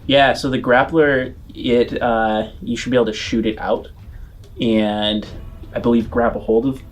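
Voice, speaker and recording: A man's voice: medium pitch, level tone, unhurried. A man running a tabletop role-playing game as game master, narrating and explaining in a conversational way.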